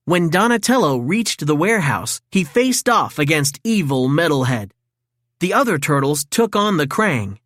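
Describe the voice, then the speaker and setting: Lively storybook narrator woman, very dry sound. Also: fairly low pitch, no room sound. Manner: expressive, dramatic read-aloud delivery. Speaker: children's story reader. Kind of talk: scripted storybook narration for children.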